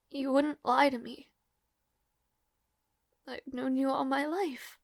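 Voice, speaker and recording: A woman acts out a nervous young maid in a romantic audio drama, her voice extremely high with a creaky edge. She speaks haltingly and with raw emotion, humble and lovestruck. The sound is close and dry. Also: no echo, exaggerated voice. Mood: shy, tender. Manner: halting, stammering, breathy pleading delivery.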